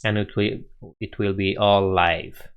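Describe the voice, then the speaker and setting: A man's voice: lowish voice, flat delivery, unhurried, clear, resonant tone, muffled recording. A young man with a Romanian accent, casually chatting with viewers on a coding live stream, laughing often and thinking aloud.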